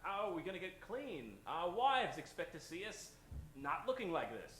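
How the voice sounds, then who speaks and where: A man's voice: high-pitched, sing-song, moderate pace, crowd noise, poor audio. A man playing a chummy, hammy factory worker in an old-time radio advert parody. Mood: folksy, eager.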